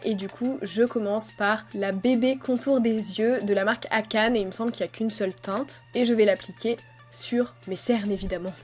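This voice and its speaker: Young woman, nasal, congested from a cold, medium pitch, relaxed chatty voice-over, faint music behind. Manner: chatty, relaxed, with frequent hesitations. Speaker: beauty vlogger. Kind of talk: voice-over narration of a skincare routine. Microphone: voice-over recorded separately.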